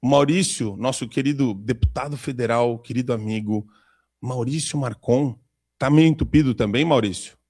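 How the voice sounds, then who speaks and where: A man's voice: medium pitch, moderate pace, a little mellow. Male talk show host, sarcastic jokester, congested from a cold. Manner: joking, sarcastic banter. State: stuffy nose from a cold. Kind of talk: casual political talk show.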